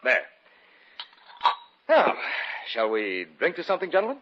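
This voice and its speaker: An American actor playing a guilt-racked, nervous murderer in an old radio horror drama, with theatrical, halting delivery. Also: male voice, fairly high pitch, huge pitch swings, exaggerated voice. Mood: nervously genial. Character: unhinged male murderer, guilt-racked, feigning polite composure before cracking. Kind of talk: 1940s-50s American radio horror acting, dialogue and narration. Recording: dull old radio recording with music.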